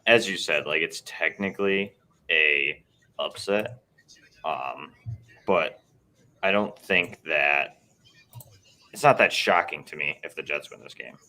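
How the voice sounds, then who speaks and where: A man with an extremely low, rumbling voice hosts a casual sports chat. His voice is going, strained and hoarse, and he keeps clearing his throat. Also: takes it slowly, long pauses, bright, forceful tone. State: losing his voice. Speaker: podcast co-host.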